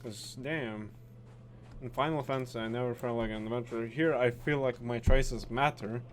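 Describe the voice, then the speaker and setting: A man doing casual gameplay commentary, halting and repeating words, with frequent swearing. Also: medium pitch, fairly even intonation, a little hiss or hum. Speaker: gaming video host.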